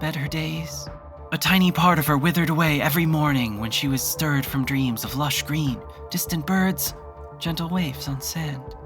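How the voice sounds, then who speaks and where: Measured narrator of unclear sex, music underneath. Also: mid-range voice, a bit bright. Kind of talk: scripted storytelling narration.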